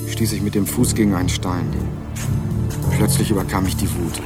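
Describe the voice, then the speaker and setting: Young male lead narrator, low voice, dry and ironic, music beneath. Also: steady tone, moderate pace. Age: young adult. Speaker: narrator and main character. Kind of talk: first-person crime radio drama narration.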